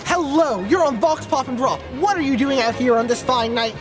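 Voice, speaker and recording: A woman hosting a street vox pop segment, bubbly and excitable. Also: high voice, normal speed. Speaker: segment host. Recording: music underneath.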